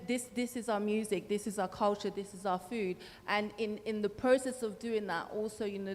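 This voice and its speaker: A woman's voice: medium pitch, darkish tone. A woman panelist of Tanzanian origin who grew up in the Netherlands, speaking with hesitant fillers but growing passionate. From Tanzania, raised in the Netherlands. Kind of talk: panel discussion. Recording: long hall reverb, faint noise.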